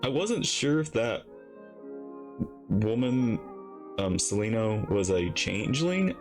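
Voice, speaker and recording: A man giving casual live commentary as he reacts to a game, musing haltingly as he thinks aloud. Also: lowish voice, big pitch swings, music in the background. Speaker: reaction video host.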